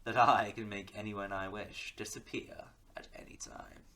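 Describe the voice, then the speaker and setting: A man's voice: lowish voice, flat delivery, normal speed, sharp tone. A man playing a smug, sadistic crime boss in an audio drama, taunting theatrically and slipping into menacing whispers. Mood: calm menace. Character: smug, sadistic male crime-boss villain who threatens softly. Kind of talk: audio roleplay drama acting.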